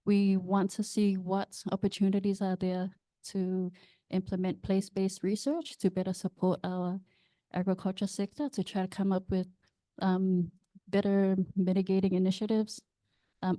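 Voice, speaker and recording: A woman from Samoa presents at a workshop in a very dark, flat voice. The sound is dry and close. She speaks steadily and formally, with a few fillers. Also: mid-range voice, moderate pace, no room sound.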